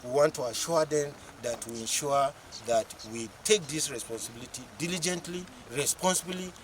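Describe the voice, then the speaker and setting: Halting official speaking off the cuff. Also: male voice, on the high side, slight background noise. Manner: halting, repeats words while searching. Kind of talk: unscripted remarks to press.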